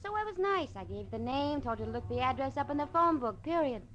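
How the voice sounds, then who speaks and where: High-pitched flirty carhop. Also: female voice, expressive, fast talker, fairly bright tone. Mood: sassy, brushing off. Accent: American. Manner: flirtatious, sassy. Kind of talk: 1940s radio drama acting. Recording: muffled old radio recording, faint noise.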